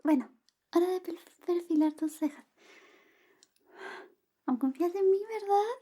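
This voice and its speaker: A woman speaking softly and often whispering in an ASMR roleplay, playing an affectionate, playful best friend. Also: extremely high pitch, unhurried. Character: affectionate, clumsy female best friend giving a shave. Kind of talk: ASMR personal attention roleplay.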